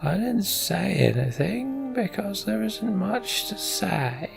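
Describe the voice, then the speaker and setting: A wheezy old giant from a fantasy game, pitched up and full of gravel. He is blunt and wry, and he drags his words out slowly. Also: sing-song, music in the background, heavily acted. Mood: grim, knowing. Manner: slow, drawn-out delivery.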